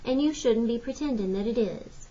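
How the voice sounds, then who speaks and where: A woman's voice: a little high, normal speed, warm tone, voice pushed up, close to an everyday voice. Woman reading as a frank, inquisitive young girl, muffled recording. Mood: frank, probing. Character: ten-year-old girl, frank, inquisitive, sweet. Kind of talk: audiobook story reading.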